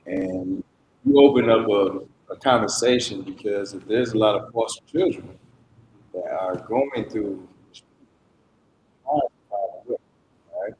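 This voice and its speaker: A man's voice: on the low side, takes it slowly, a little mellow. A man on a discussion panel, talking conversationally and often checking in with a short tag question. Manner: repeatedly checks in with "right?". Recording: echoey room, faint background noise.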